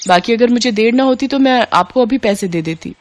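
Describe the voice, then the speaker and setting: A woman's voice: a little high, fairly quick, pitched above the performer's own voice, lightly acted. A woman voicing a polite, earnest young rich woman in an acted storybook dialogue. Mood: grateful.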